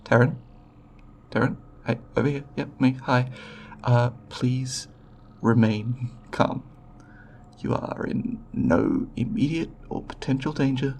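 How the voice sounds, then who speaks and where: Guy doing an alien scientist for asmr roleplay, super creaky voice, stiff clinical wording, kinda nervous, faint noise behind. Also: medium pitch, steady tone, takes it slowly, a little mellow. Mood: anxious, placating. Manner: clinical, precise, stilted formal wording with hesitations. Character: nervous, formal male alien researcher examining a human. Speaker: voice actor in an audio roleplay. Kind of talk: ASMR roleplay, recorded report then reassurance.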